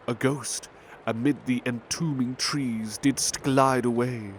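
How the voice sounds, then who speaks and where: Slow, soft-spoken man reading poetry. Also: fairly low pitch, slight background noise. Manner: soft, lingering, expressive recitation. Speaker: ASMR butler roleplay reader.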